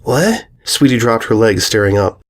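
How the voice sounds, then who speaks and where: Male narrator with a medium-pitched voice for scripted dramatic fiction reading. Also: a little fast, slight background noise. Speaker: story narrator.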